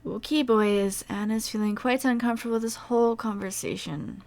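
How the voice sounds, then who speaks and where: A woman plays a young woman who won't be overlooked in an audio drama. Her voice is fairly high, with indignant, sarcastic delivery. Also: normal speed, vocal fry, a little mellow. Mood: offended, sarcastic.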